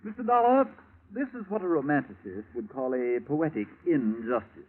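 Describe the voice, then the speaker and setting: A man's voice: mid-range voice, sing-song, moderate pace. A man acting a suave, smug, corrupt British police chief in a 1940s radio drama, mocking and elaborately polite. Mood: gloating, mocking. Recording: tinny, narrow-band vintage radio recording.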